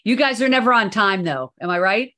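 A woman's voice: mid-range voice, animated, fairly quick, pushed and bright. A woman hosting an interview podcast, chatty and upbeat, telling anecdotes as she goes. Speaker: podcast host. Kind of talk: casual interview chat.

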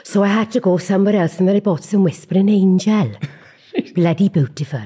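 A woman's voice: mid-range voice, a little fast, dark tone, slightly rough, close to an everyday voice. A middle-aged woman putting on a Welsh accent to play a chatty woman describing her shopping. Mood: chuffed. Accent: Welsh, put on.

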